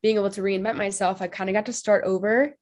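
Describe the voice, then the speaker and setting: A woman's voice: mid-range voice, steady tone, fast, a little mellow. Bubbly young woman, a bit nasal. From Ohio. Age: 20s. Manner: upbeat, bubbly, frequent "like" fillers, laughs easily. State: slightly nasal from allergies. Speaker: podcast guest. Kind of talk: casual interview chat.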